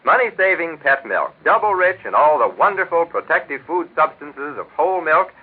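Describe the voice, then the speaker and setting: A man's voice: a little high, big pitch swings, normal speed, breathy edge. An American man with a jovial, eager, hammy manner, delivering folksy scripted sponsor patter as a 1940s radio announcer and co-host. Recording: tinny band-limited 1940s radio recording.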